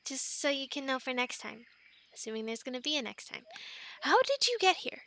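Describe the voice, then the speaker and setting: High, bright, awkward young female castaway scientist, acted by a woman. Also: sing-song, a little fast, slight background noise, exaggerated voice. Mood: wary, explaining. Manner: stammering, rambling, flustered, urgent hushing in whispers. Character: awkward, quirky young female animal scientist stranded on dinosaur island. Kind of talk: ASMR audio roleplay to an unheard listener.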